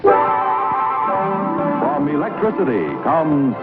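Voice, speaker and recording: A male commercial announcer declaims slogans in 1950s style. His slightly high voice moves in lively sweeps over loud music, on a thin, tinny soundtrack. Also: extremely slow.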